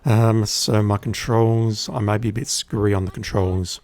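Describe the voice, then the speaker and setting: An Australian man giving relaxed, chatty commentary as he plays a game on stream, with a wry humor. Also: on the low side, moderate pace. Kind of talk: casual gameplay commentary.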